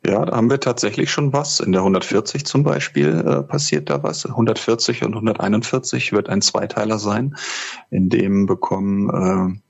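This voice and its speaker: Deep husky guy on a podcast, explains stuff haltingly, slightly muffled sound. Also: animated. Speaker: podcast co-host, audio drama writer. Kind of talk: casual podcast chat. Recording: slightly dull podcast audio.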